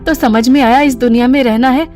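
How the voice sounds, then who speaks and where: A woman's voice: sits high, fairly even intonation, brisk, darkish tone, soft background music, lightly acted. An actress playing a timid, earnest and sympathetic young woman with a pleading manner in an audio drama.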